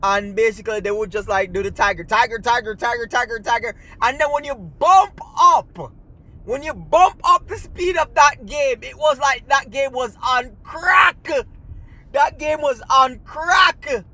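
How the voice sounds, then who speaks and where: A man's voice: very high-pitched, unhurried, sharp tone, poor audio. A man with a Jamaican accent, hosting his own channel and ranting in a heated, rambling way with lots of restarts. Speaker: gaming channel host. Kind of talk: casual ranting commentary to camera.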